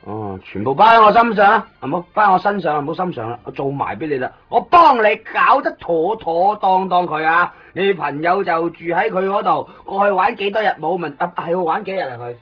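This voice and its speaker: Cheeky, eager-to-help young man, Hong Kong Cantonese, highish voice, slangy radio drama delivery, dull recording. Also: brisk, few pauses, breathy edge, full character voice. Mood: boastful. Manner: teasing, animated, slangy. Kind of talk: 1990s radio drama acting.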